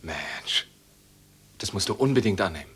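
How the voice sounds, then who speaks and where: Urgent resistance comrade, husky low voice, urging, conspiratorial, radio drama. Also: male voice, lively intonation, normal speed, a bit bright, heavily acted. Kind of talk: naturalistic radio drama dialogue.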